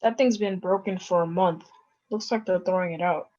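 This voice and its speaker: A woman's voice: fairly low pitch, moderate pace, darkish tone, lo-fi sound, close to an everyday voice. A woman reading the part of a sensible ten-year-old boy in casual scripted dialogue. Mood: matter-of-fact.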